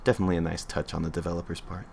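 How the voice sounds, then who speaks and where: Very deep-voiced man reading a story. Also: flat delivery, racing, creaky, a little mellow, slight background noise. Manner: offhand, stumbles and corrects himself mid-read. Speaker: streamer reading a creepypasta. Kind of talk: casual reading aloud on a livestream.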